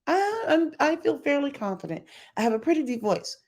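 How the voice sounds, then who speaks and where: A middle-aged woman giving an opinionated commentary as a YouTuber, emphatic and sassy in her delivery, with a voice she calls deep. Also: very animated, brisk, a little mellow. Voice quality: deep voice by her own account. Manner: sassy, emphatic, mocks others in put-on voices.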